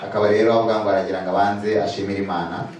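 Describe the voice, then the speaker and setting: A man's voice: lowish voice, little pitch movement, racing, a little mellow. A man working as a church interpreter, relaying a sermon phrase by phrase after the preacher. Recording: echoey church hall from far off, faint noise.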